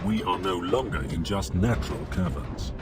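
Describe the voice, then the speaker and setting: A man's voice: sits low, animated, moderate pace. A man playing a stern, observant investigator in a video game, speaking seriously. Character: stern, observant male investigator exploring ruins. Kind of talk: scripted game dialogue. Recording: distant voice with music behind.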